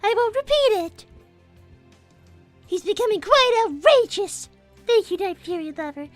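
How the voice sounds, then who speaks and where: A woman's voice: very high-pitched, sing-song, measured pace, no echo, soft background music, heavily acted. A woman voicing a young girl rabbit, a prim, indignant schemer who speaks politely and formally in improvised cartoon roleplay. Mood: defensive, prim. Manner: polite, prissy, formal wording.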